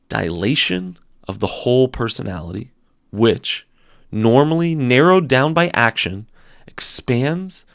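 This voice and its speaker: A man giving a dramatic, deliberate reading of a philosophical text, with theatrical phrasing and emphatic pauses. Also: medium pitch, creaky, no room sound, clean studio sound.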